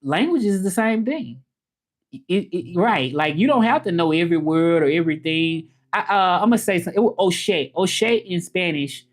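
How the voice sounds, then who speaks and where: A man's voice: high-pitched, lively intonation, normal speed. A man with a Memphis Southern American accent, chatting informally as a livestream host in a loose, rambling way and repeating himself. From Memphis, southern United States. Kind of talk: casual livestream chat about learning languages, reading comments.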